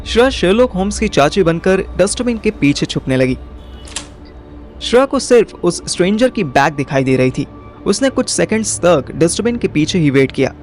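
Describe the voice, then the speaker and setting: A man's voice: sits high, very animated, normal speed. A man with an Indian accent narrating a scripted audio story in a wry, colloquial way. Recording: music behind the voice.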